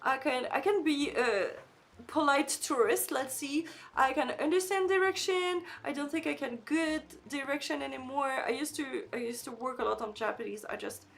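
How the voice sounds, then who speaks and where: Chatty high-voiced streamer woman, non-native English. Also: moderate pace, vocal fry, fairly bright tone. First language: not English. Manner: chatty, reads chat aloud, frequent fillers and restarts. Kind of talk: casual live-stream chat. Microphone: desk microphone.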